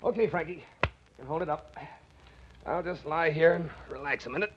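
An actor playing a chatty, self-important American executive in 1940s radio style, clipped and emphatic. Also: male voice, fairly high pitch, a little fast, fairly bright tone, slight background noise, lightly acted. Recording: dull 1940s radio recording.

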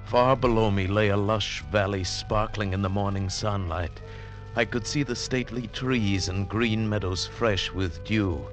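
A man's voice: lowish voice, lightly acted. Man acting intense mountaineer narrating old radio adventure. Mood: hushed wonder. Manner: dramatic, deliberate phrasing. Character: male mountaineer recounting his ordeal, intense and awestruck. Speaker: narrator and lead actor. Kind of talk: 1940s-50s radio drama narration. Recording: vintage radio recording with music behind.

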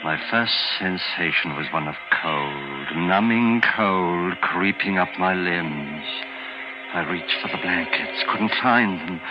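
A frightened rich old patient tells his nightmare in a low voice, tense and breathless with dread. It is a muffled 1940s radio broadcast with music playing behind him. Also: slow pace. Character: frightened rich older male hospital patient. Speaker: lead actor and first-person narrator. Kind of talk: 1940s radio drama acting.

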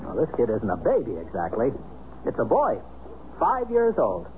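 A man's voice: mid-range voice, wildly swooping pitch, moderate pace. An actor playing a jaunty, flustered American businessman and husband in a 1970s radio drama. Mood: frank, casual. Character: jaunty, flustered businessman and estranged husband, former college athlete. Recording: dull, noisy old radio recording.

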